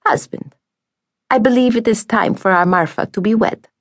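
A woman's voice: medium pitch, moderate pace, dry recording, clean studio sound, voice pushed up, natural voice. A woman voicing a cold-hearted, scheming stepmother in an audiobook, sweetly commanding and falsely grand.

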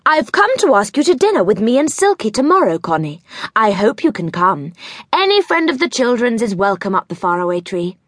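A woman's voice: on the high side, very animated, a little fast, dry recording, clean studio sound, pitched above the performer's own voice. A woman voicing a jolly, round-faced little magic man in a children's audiobook, hearty and very courteous. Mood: jolly politeness.

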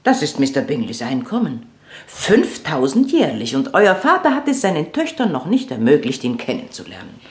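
Excitable matchmaking mother, swooping. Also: low-pitched, moderate pace, roomy sound. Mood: indignant, lamenting. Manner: effusive, theatrical exclamations and scolding. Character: excitable, matchmaking middle-aged mother of marriageable daughters. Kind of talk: German radio play acting.

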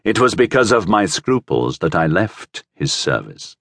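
Man acting a low, husky proud sellsword. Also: sing-song, measured pace, fairly bright tone, no room sound, clean studio sound, exaggerated voice. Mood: firm refusal. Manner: blunt, scornful, firm. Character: proud, principled male sellsword of minor nobility.

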